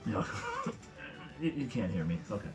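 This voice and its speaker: A man chatting casually as a player in a tabletop roleplaying game, describing his actions in a halting way. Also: fairly low pitch, big pitch swings, a little mellow, faint music behind.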